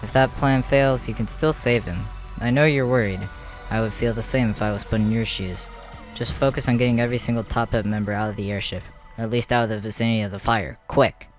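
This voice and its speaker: A man's voice: on the low side, steady tone, a little fast, close to an everyday voice. A man voicing anxious, alarmed male gang members in a comic dub, urgent and exclamatory. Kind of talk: comic dub character acting. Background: music.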